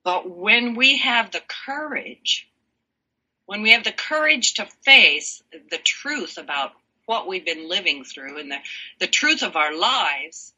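Interview guest, woman, low husky voice with a bright, tense edge, slow emphatic delivery. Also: rough recording. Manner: animated, laughs often, slow emphatic phrasing. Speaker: guest, sound healer. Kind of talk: warm expressive interview talk.

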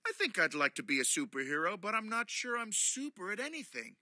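A man voicing an excitable little boy panda, eager and squealing. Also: high-pitched, a little fast, pitched above the performer's own voice, heavily acted.

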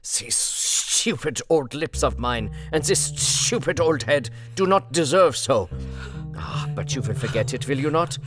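A man's voice: mid-range voice, lively intonation, unhurried, voice pushed up, lightly acted. A man plays an elderly learned professor, grave and kindly, speaking with earnest, formal weight in a storybook reading. Faint music plays beneath his mid-range voice. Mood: remorseful. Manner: solemn, earnest old-world formality.

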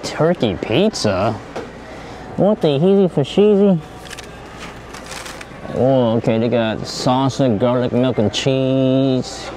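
A relaxed man talks casually to his viewers while he wanders a grocery store, thinking out loud and repeating his lines. His voice sits at a middle pitch. Steady store noise runs underneath, as you'd get from a phone held while shopping. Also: slow pace, pauses often. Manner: laid-back, thinks out loud, repeats himself. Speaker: vlogger. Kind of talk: casual vlog chat.

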